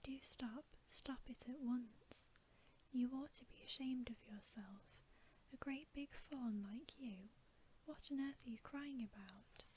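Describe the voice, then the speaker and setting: A woman's voice: a little high, takes it slowly, darkish tone. A woman voicing an anxious, kind-hearted little girl in a whispered ASMR storybook reading. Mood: scolding. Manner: whispered, earnest, concerned. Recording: faint background hiss.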